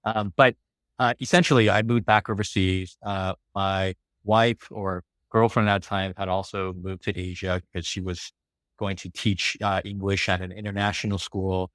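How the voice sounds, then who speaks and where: An American man in his forties guests on a podcast and walks through his life story. His voice sits low and he rambles along with plenty of fillers. The sound is close and dry. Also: little pitch movement, normal speed, a bit bright, dry recording. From California. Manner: rambling, many fillers and laughs. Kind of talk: casual interview storytelling.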